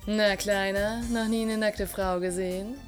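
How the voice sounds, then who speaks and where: A woman plays a cheeky showgirl from a seedy club in a pulp radio play. Her voice is mid-pitched, teasing and mocking, with faint music behind it. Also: fairly even intonation, measured pace, close to an everyday voice. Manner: mocking, flirty. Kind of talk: amateur audio drama acting.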